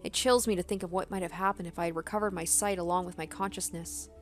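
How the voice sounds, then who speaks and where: A woman's voice: lowish voice, steady tone, quick pace, soft background music. A young adult woman game streamer reading story narration aloud and adding casual commentary.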